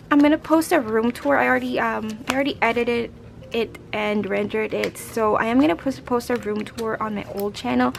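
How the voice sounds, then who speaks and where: A young woman with a raspy, hoarse voice, chatting casually as a budgeting vlogger and counting out cash into envelopes. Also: on the high side, slight background noise. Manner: chatty, rambling, counts money aloud. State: hoarse from a late night. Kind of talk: casual chat while stuffing cash envelopes.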